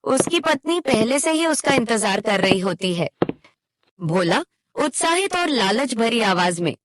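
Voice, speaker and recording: A woman's voice: fairly high pitch, very animated, a little slow, no room sound, clean studio sound. A woman narrating a cartoon moral tale in a scripted storytelling style.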